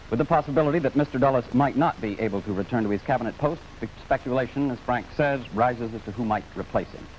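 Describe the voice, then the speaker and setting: American newscaster, dull vintage broadcast sound. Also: male voice, medium pitch, darkish tone. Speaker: news anchor. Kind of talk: scripted newscast narration. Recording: dull 1950s broadcast, faint noise.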